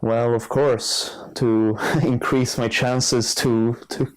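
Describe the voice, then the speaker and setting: A man's voice: medium pitch, takes it slowly, clear, resonant tone, rough recording. A young man from Sweden, a student, answering interview questions frankly and a little hesitantly. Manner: relaxed, frank, hesitant with fillers.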